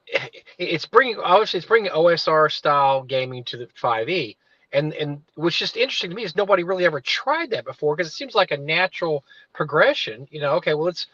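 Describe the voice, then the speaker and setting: A man's voice: on the high side, big pitch swings, a little fast, fairly bright tone, no echo, muffled recording. Rambling man talking from his parked car. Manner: rambling, digressive, with many restarts. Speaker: livestream co-host. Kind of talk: casual chat.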